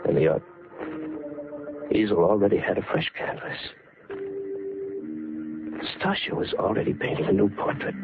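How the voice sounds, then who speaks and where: A man's voice: very deep voice, exaggerated voice. An actor playing a tormented man who narrates his descent into murder in a brooding, dramatic old-time radio style. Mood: brooding, haunted. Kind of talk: old-time radio thriller acting, first-person narration. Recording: muffled 1940s radio recording, loud music behind. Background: loud dramatic music.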